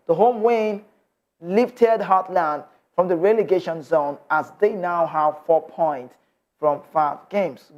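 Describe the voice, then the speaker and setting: A man's voice: fairly high pitch, muffled recording. A man with a Nigerian accent hosting a sports show, reading football news and giving passionate commentary with bits of Pidgin.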